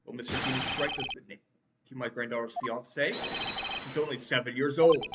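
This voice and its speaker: A woman's voice: a bass voice, fairly even intonation, brisk. A woman voicing an arrogant, scornful elderly male prosecutor who talks down to everyone. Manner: haughty, sneering, dismissive. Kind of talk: voicing game text aloud. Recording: game music behind voice. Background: loud game music.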